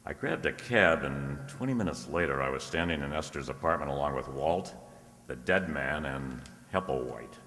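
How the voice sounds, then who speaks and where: A man's voice: extremely low pitch, expressive, moderate pace, fairly bright tone, full character voice. A man playing a breezy, teasing ex-cop private detective in an old-time radio drama style, recorded in a very reverberant space. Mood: wry, matter-of-fact. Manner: breezy, teasing, first-person hardboiled narration. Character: wisecracking ex-cop private detective, unflappable, flirtatious. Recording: very reverberant room or hall.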